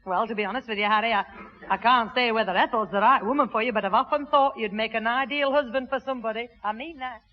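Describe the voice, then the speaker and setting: A woman's voice: fairly high pitch, quick pace, lightly acted. An actress playing a kindly, sensible middle-aged mother, earnest and firm, in a 1960s radio sitcom. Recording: muffled, faintly hissy 1960s radio recording.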